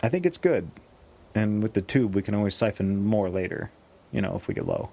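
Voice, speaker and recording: An actor playing a cautious, worried man surviving on the road in an audio drama, with a husky voice and wary, questioning delivery. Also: fairly low pitch, wildly swooping pitch, brisk, warm tone, full character voice. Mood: casual. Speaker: supporting lead actor. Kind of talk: naturalistic audio drama dialogue.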